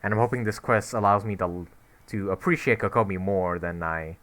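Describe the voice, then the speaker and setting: A man's voice: fairly low pitch, a little fast, a bit bright. A young man casually commentating over a game he is playing, rambling with lots of fillers and snarky asides. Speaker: gameplay commentator. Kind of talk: casual let's-play commentary.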